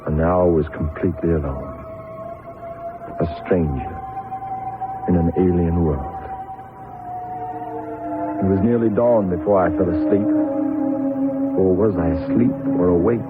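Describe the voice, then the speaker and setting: A man's voice: deep voice, unhurried, pauses often. An actor playing an earnest, lonely young man who tells his story in a radio drama, on a tinny old recording. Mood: somber, reflective. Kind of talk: radio drama acting and narration. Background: music.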